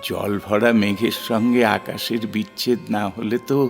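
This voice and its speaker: A fairly low-pitched, gentle man, played by an actor in an audio drama. He is earnest and polite, consoling with a philosophical, slightly flustered concern. Music sounds clearly behind him. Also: steady tone, moderate pace, lightly acted. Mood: gently philosophical. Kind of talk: Bengali audio drama acting.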